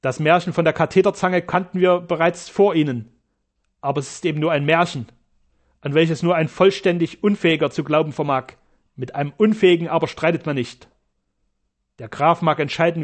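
A man's voice: fairly high pitch, a little fast, lightly acted. Man playing a sneering Spanish surgeon. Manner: scornful, mocking, shouting at times.

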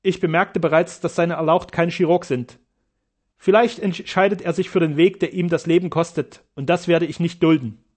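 Fearless German doctor, heated and formal, voiced a touch high by a male reader. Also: steady tone, moderate pace, a little mellow, close to an everyday voice. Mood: firm.

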